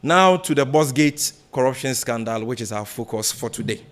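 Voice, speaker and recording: A man with a Ghanaian accent reading a prepared political speech as a party spokesman, in a deliberate, emphatic, oratorical way. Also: mid-range voice, expressive, a bit bright. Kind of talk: formal political speech read from a script at a press briefing.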